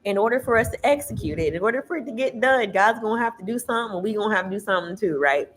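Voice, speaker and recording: A woman with a loud, carrying voice giving a casual tarot reading, emphatic and preachy, swearing freely. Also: medium pitch, animated, racing, a little hiss or hum. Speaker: tarot reader.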